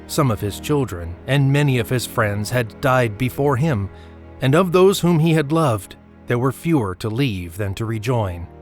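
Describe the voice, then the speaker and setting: A man reads as the narrator of a literary audio drama. His medium-pitched voice is measured and storylike, with music playing beneath it.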